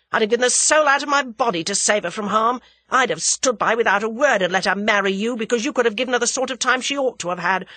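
A woman's voice: on the low side, fairly bright tone, slightly rough, no room sound. A woman voicing a heartbroken, hot-tempered young man who lashes out in angry outbursts.

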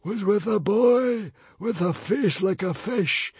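A screeching, whiny villain librarian. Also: male voice, sits high, animated, slow pace, husky, dry recording, pitched above the performer's own voice, heavily acted. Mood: menacing, screeching.

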